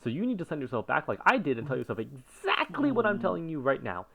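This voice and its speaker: A woman's voice: low-pitched, big pitch swings, fast, gravelly, a bit bright, heavily acted. A woman voicing a brainy, exasperated cartoon pony with a dry, explanatory manner. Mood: urgent. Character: brainy, exasperated female pony, dryly sarcastic. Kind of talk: cartoon parody voice acting.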